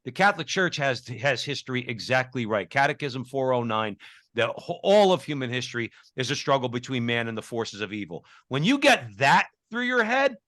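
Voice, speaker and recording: A man's voice: mid-range voice, sing-song, normal speed, fairly bright tone, dry recording, studio quality. A male co-host of a talk show, speaking emphatically and often repeating words as he starts a point. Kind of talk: casual talk show discussion.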